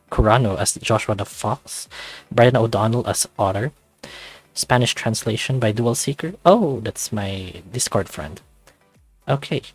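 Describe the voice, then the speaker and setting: Low-voiced man giving casual commentary. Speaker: host of a visual novel read-along channel. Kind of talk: casual commentary and read-aloud.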